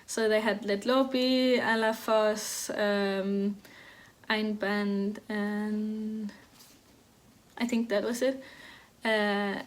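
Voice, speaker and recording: A woman in her thirties from Denmark talks casually about knitting. Her pitch stays level and flat, and she often pauses and restarts mid-sentence. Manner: hesitant, many restarts and fillers; even, flat delivery. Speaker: knitting podcast host. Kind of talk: casual chat.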